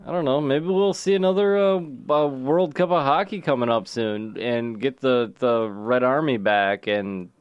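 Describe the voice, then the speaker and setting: A man's voice: mid-range voice, a little slow, hardly any pauses, clean tone, no echo, muffled recording. A man co-hosting a casual sports podcast, joking and rambling, often restarting his sentences. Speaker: podcast co-host.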